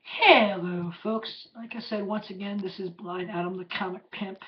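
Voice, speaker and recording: A hoarse man hosting his podcast from a room at home, talking in a loose, chatty way full of fillers and plugs. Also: high-pitched, fairly even intonation, normal speed, highs cut off, a little hiss or hum. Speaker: podcast host. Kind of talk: casual rambling podcast monologue.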